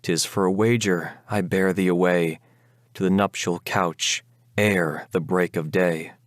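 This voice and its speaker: An undead rider come for his bride, acted out by a man, imperious and darkly ominous. The words are archaic and urgent, delivered as Gothic ballad drama at an unhurried pace, very close and dry. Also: sits low, fairly even intonation, no room sound. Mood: ominous, insistent.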